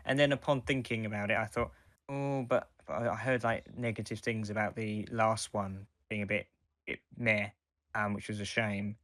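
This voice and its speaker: Bright, forceful lower-voiced man chatting. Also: little pitch movement, normal speed, no echo. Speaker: podcast co-host. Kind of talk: casual fan review chat.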